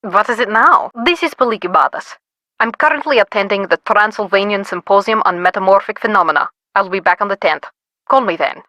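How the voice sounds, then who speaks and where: An actress playing a brusque, self-important academic expert in a video game, speaking in an imperious, clipped way. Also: female voice, on the high side, poor audio. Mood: curt, impatient. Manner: imperious, clipped, impatient lecturing. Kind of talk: scripted video game voice acting.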